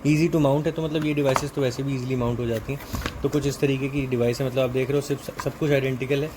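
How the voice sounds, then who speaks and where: A man's voice: medium pitch, fairly even intonation, quick pace. Male product reviewer, Indian accent, level delivery, explanatory and casual, noisy recording. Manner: explanatory, mixes in English technical terms. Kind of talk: casual unboxing and product walkthrough.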